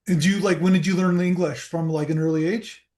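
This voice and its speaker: A man hosting a podcast interview, relaxed and chatty, encouraging his guest. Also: a little high, fairly quick. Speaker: podcast host. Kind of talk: casual interview.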